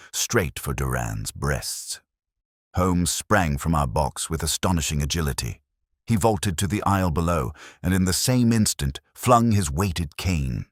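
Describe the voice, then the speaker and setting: A man narrating a period mystery audiobook in a solemn, theatrical way with deliberate phrasing. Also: very deep voice, no room sound, clean studio sound.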